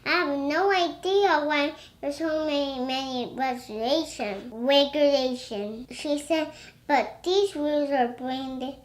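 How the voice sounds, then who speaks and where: High-pitched little girl reading haltingly. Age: child. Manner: halting, stumbling over words. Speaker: young reader learning to read aloud. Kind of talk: halting read-aloud of a children's storybook.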